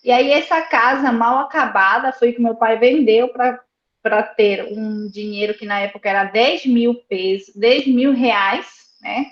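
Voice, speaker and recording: A woman who grew up in Argentina, telling stories as an interview guest in a lively way, laughing often and repeating words. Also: fairly high pitch, measured pace, reverberant, lo-fi sound. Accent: slight Argentine Spanish. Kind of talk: casual storytelling interview.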